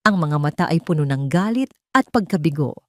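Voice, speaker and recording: A woman's voice: low voice, lively intonation, a little fast, darkish tone, no echo, clean studio sound. A woman with a Filipino accent narrating a scripted romance drama as a storyteller.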